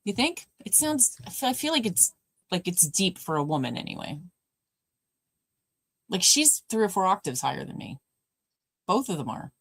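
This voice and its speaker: A woman with a deep voice hosting a live watch party, chatting casually and answering viewers' comments by name. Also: big pitch swings, moderate pace, long pauses, breathy edge. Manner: chatty, warm replies to chat, addresses viewers by name. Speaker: livestream host.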